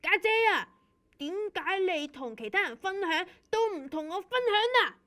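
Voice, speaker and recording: A woman's voice: extremely high pitch, animated, moderate pace, pushed and bright, heavily acted. A woman playing an eager, pestering little preschool boy in a children's puppet show, with a Hong Kong Cantonese accent and a childlike, insistent delivery. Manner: childlike, insistent, repeats himself.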